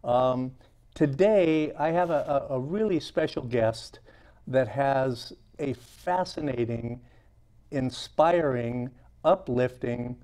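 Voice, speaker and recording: A male interview host with a mid-range voice, talking in an eager, loose way. He stumbles into his sentences with plenty of fillers and restarts, then picks up speed when something excites him. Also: mid-range voice. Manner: halting, many fillers and restarts; enthusiastic, chuckles often. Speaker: talk show host. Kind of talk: casual interview chat.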